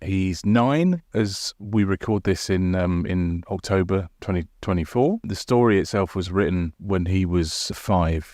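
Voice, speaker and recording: A man's voice: deep voice, a little mellow, dry recording, clean studio sound. A man hosting a podcast, speaking to listeners as its writer and presenter. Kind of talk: podcast presenting, credits and informal asides.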